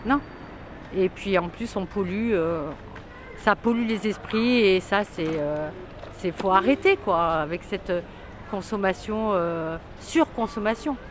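A middle-aged woman answering a street interview outdoors, talking hesitantly with many filler pauses. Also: mid-range voice, lively intonation. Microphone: handheld camera held close. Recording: street traffic noise.

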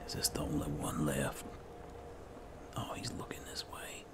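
A man giving hushed, casual commentary as he role-plays through a video game, often dropping close to a whisper. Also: rumbling low, monotone, measured pace. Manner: murmuring, often near-whispered. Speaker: gaming video host, role-player. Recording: game music behind the voice. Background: video game music.

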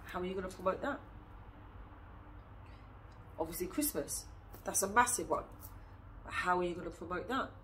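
A woman's voice: on the low side, normal speed, long pauses, fairly bright tone, slight background noise, poor audio. Bunged-up woman teaching casually. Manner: chatty, direct, asks many questions. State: stuffy nose from a cold. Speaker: early years teacher and vlogger. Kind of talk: casual teaching talk to camera. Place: small indoor room, seated on a chair. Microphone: phone camera at a short distance.

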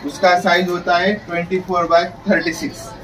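A man's voice: high voice, flat delivery, normal speed, fairly bright tone. Chatty man demonstrating in a noisy shop. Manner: chatty, keeps addressing viewers as friends. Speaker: laundry shop owner teaching on his channel. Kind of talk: casual how-to demonstration. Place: small laundry shop, indoors. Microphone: camera mic at a distance. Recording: distant mic with street and traffic noise.

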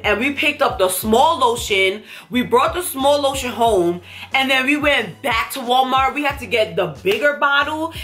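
A young woman with a congested, stuffy nose talks to the camera in a bright, forward voice. She gushes emphatically about her finds in a casual, chatty way. She is recorded at desk distance in a small room at home. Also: on the high side. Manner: chatty, emphatic, enthusiastic product talk. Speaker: beauty vlogger. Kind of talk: casual haul chat to camera.

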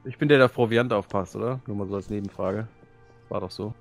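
A man's voice: lowish voice, fast talker. A man chatting casually as a player in an online tabletop role-playing game. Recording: online voice call, background music.

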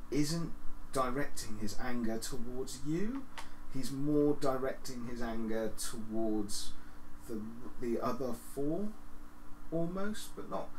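A male game master improvises narration for a tabletop roleplaying game. His pitch is average, and he is recorded in an echoey living room with faint background noise. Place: living room with several players.

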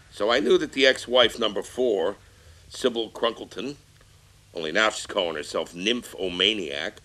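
A man plays a brash showbiz husband who makes excuses in a breezy, defensive tone. His voice has a bright, forceful edge. The delivery is scripted audio drama monologue. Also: lowish voice, rough recording.

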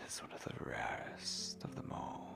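A man playing a menacing, possessive, courtly vampire lord in an ASMR roleplay, speaking in a breathy, deliberate whisper with lingering pauses. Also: music in the background, close to an everyday voice. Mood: smug, possessive. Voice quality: breathy whisper with gravelly creak.

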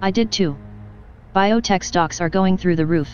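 An actress playing a pedantic, blunt, unflappable scientist investigator in an audio drama, precise and deadpan. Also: female voice, fairly low pitch, measured pace, lo-fi sound, soft background music, lightly acted. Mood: matter-of-fact.

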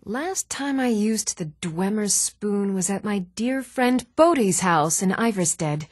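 An earnest woman from a fantasy video game, speaking in an even-toned voice of medium pitch. The sound is dry, with no room around the lines. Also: a little slow, no echo. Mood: scatterbrained, eager. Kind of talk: video game character dialogue.

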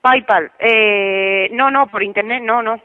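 A woman's voice: a little high, slow pace, no room sound. A woman working as a hotel receptionist, speaking firmly and matter-of-factly on a customer call. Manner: matter-of-fact, firm. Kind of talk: customer service phone call. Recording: muffled telephone line.

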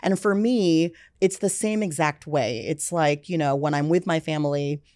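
A woman in her forties talks in a low, relaxed register, loose and conversational, with fillers scattered through her thoughts. She sits in a dark studio, close to a boom-mounted podcast mic, with a dry, dead sound. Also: normal speed, no echo. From United States. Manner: chatty, many fillers like "you know" and "like". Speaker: film music executive, guest. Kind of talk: casual interview chat. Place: dark studio set, seated in armchair. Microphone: podcast mic on boom arm.

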